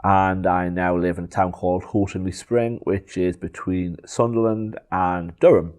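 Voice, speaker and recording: Low-voiced Geordie man chatting casually. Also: fairly even intonation, a little mellow. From northeast England. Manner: unhurried, frequent ums, digressive. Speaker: photography YouTuber. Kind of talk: casual Q&A talk to camera.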